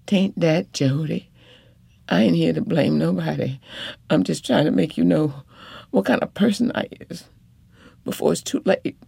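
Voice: mid-range voice, normal speed, gravelly, pauses often, mellow, husky, dry recording, pitched above the performer's own voice, exaggerated voice. A reader playing a young wife, raised voice, defiant, earnest, dry sound. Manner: earnest, rising to fierce reproach. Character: young rural wife, hurt but defiant, pleading.

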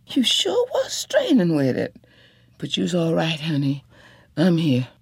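A tender, anxious young wife, as a woman reader puts her on, with a husky, raised voice that climbs and falls. Her drawl is warm and coaxing, heard up close with no room sound. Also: deep voice, wildly swooping pitch, unhurried, no room sound, voice pushed up, heavily acted. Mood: soothing.

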